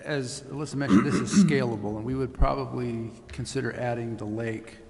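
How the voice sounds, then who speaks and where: A man, a city official, answering council questions in a halting way with frequent "uh" pauses. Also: mid-range voice, steady tone, gravelly, a little mellow. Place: council chamber. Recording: reverberant chamber with faint ambience.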